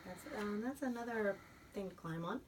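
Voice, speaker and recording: A woman reading a picture book aloud to a young child in an expressive storybook style, with simple chatty asides. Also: mid-range voice, normal speed. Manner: animated storybook reading, simple child-directed explanations and prompts. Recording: noisy, distant home microphone. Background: room noise and a young child's voice.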